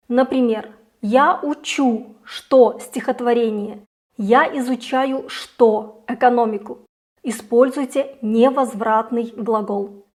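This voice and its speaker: A young woman teaching a scripted grammar lesson, saying each example slowly and clearly. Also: a little high, a little mellow. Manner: slow, careful, clearly articulated examples. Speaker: Russian language teacher.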